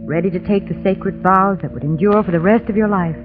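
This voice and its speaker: A woman's voice: medium pitch, fairly quick, music in the background, lightly acted. An actress playing a poised, witty lady companion to a sleuth, arch and genteel, in 1930s radio drama. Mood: teasing, wry. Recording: muffled, band-limited old radio recording.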